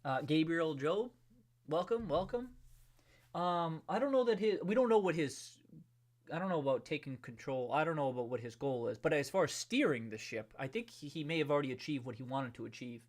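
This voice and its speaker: A man's voice: a little high, expressive, brisk. Chatty man hosting a livestream. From New England. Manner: loose and chatty, many restarts, hums a tune. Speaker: livestream host. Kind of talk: casual livestream chat reading viewer comments.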